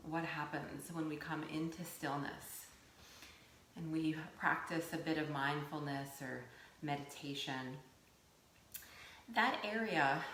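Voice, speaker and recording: A woman teaching yoga nidra, giving gentle guided meditation instruction in a soothing, unhurried way with pauses. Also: deep voice. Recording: roomy echo, faint background noise.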